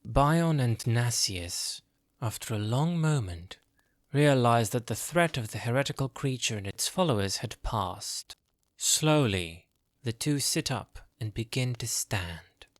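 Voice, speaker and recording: A man's voice: medium pitch, animated, a little slow, dry recording, clean studio sound. A man narrating a scripted fantasy story.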